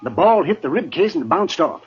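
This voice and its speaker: A garrulous frontier sawbones on a muffled old radio show. His high, lively voice is extremely raspy, fussing and hesitating between clinical details. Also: moderate pace, heavily acted. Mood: fussy, clinical. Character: fussy, garrulous frontier town doctor, morbidly matter-of-fact. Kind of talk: old-time radio drama acting. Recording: dull lo-fi vintage radio recording.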